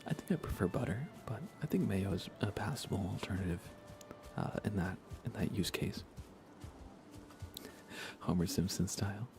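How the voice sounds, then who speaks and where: Soft-spoken, low-voiced man, ASMR chat. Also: animated, moderate pace, pauses often, music in the background. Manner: soft-spoken, often dropping into a whisper. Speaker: livestreamer playing a video game. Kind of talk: casual ASMR livestream chat.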